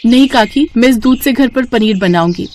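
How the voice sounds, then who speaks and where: A woman's voice: a little high, moderate pace, a little mellow, slight background noise, pitched above the performer's own voice, lightly acted. A woman voicing a poor, hardworking young woman in a cartoon story, speaking earnestly and respectfully. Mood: earnest, polite. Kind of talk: acted dialogue in a Hindi cartoon story.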